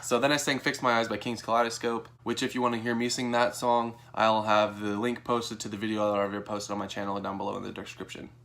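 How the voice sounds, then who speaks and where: A young American man telling a personal story to camera in a casual, animated way, acting out what people said. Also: quick pace, hardly any pauses. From Texas, United States. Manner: animated, acts out quoted dialogue in a higher voice. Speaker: vlogger.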